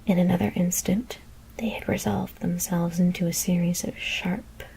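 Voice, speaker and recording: A woman whispers a scary story in a hushed, deliberate ASMR narration. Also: on the low side, flat delivery, vocal fry, a little hiss or hum, rough recording.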